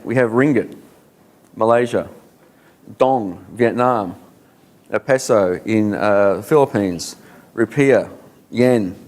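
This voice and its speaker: A man's voice: medium pitch, a little mellow. Australian man giving a conference talk. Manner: conversational, many fillers, rhetorical questions. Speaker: conference speaker.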